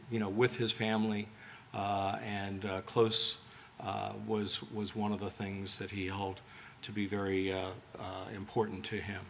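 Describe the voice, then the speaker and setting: A middle-aged or older man giving an informal eulogy, speaking in a halting, hesitant way with frequent pauses. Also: lowish voice, bright, forceful tone. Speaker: speaker giving a memorial tribute. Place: memorial gathering at a retirement community. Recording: live speech with clear background noise.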